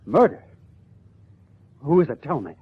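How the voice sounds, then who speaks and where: An actor playing a lovesick, anxious young suspect, earnest and defensive, in a 1950s radio crime drama. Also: male voice, a little high, big pitch swings, unhurried, slightly rough, heavily acted. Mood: indignant. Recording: tinny, phone-like old radio recording.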